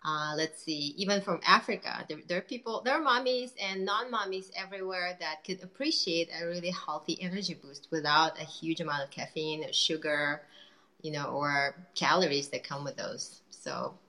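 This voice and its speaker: A woman's voice: sits low, normal speed, bright, forceful tone, rough recording. A woman entrepreneur chatting in an interview, talking loosely with many fillers and restarts. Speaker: guest entrepreneur. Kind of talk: casual interview chat.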